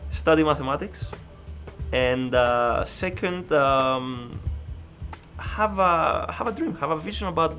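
A man's voice: fairly high pitch, expressive, slow pace, sharp tone, faint music behind. A man, answering interview questions in a hesitant way, with many filler sounds and restarts. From Greece. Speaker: research scientist. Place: indoor lab with computer screens.

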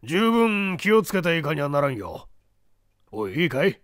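A man's voice: a little high, big pitch swings, moderate pace, a bit bright, no room sound, studio quality. A man voicing a solicitous, self-important husband with a fussy, paternal manner, in an audiobook. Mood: concerned.

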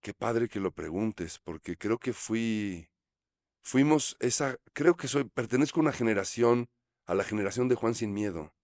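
A Mexican man in his fifties, a guest on a studio interview, telling stories in a relaxed, reflective way, speaking into a close studio microphone. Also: fairly low pitch, moderate pace, no echo. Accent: Mexican Spanish. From Mexico City. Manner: reflective, gestures while talking, frequent fillers. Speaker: actor guest. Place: small studio set. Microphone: studio mic on boom arm.

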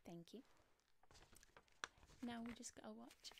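A young woman playing a domineering, sarcastic high-school queen bee in a soft-spoken, often whispered ASMR roleplay, close on the mic. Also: slow, gravelly, very noisy, full character voice. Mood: scheming, smug. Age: young adult.

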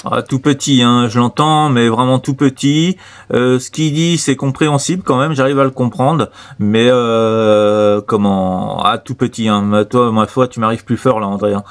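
A man's voice: medium pitch, normal speed. A man with an accent from France talking casually as a radio operator, rambling and repeating himself hesitantly. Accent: French from France. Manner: rambling, hesitant, repetitive, many tag words. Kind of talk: casual radio contact chat.

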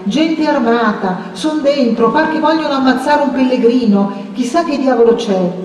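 A woman's voice: high-pitched, moderate pace, a little mellow, slight background noise, voice pushed up, lightly acted. A woman voicing an out-of-breath village man urgently raising the alarm, recorded far from the mic in an echoing room. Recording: echoey long reverb from far off.

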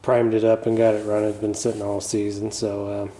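Laid-back low-voiced man mumbling through a repair. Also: a little fast, low-quality recording. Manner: mumbly, relaxed, trailing off with fillers. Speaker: channel host. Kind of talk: casual hands-on repair vlog. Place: garage, working on a floor bench.

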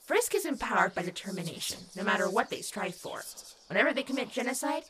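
A woman's voice: a little high, animated, quick pace, noisy background, natural voice. A woman voicing a sinister, mocking demon child in a dramatic comic dub, taunting cruelly and sometimes dropping into a whisper. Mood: coldly taunting.

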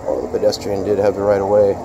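A man narrating casually as he walks city streets, filming on his phone, with halting, rambling asides. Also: fairly low pitch, completely flat delivery. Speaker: street videographer. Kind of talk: casual walking commentary. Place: city sidewalk outdoors. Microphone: handheld phone. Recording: wind and street traffic noise. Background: traffic and wind.

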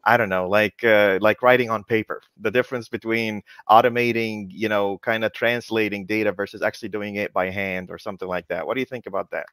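Male podcast host, lowish voice, rambling, jocular and conversational, dry close sound. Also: fairly even intonation, brisk, hardly any pauses, a bit bright, smooth, dry recording. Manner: rambling, jocular, repeats himself. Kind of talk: casual interview chat. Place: home office, video call. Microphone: headset on a video call.

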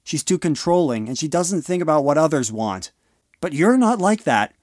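Actor as earnest teenage boy, lovestruck, animated pitch, dry sound, amateur audio drama. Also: moderate pace, dry recording, natural voice. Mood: insistent. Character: lovestruck, earnest sixteen-year-old boy.

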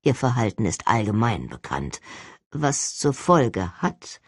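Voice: medium pitch, very animated, slow pace, husky, dry recording, clean studio sound, voice pushed down, exaggerated voice. An audiobook reader voicing a stern, arrogant older male agency director, speaking in an expressionless, formal and condescending way. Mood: cold, threatening. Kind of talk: audiobook character acting.

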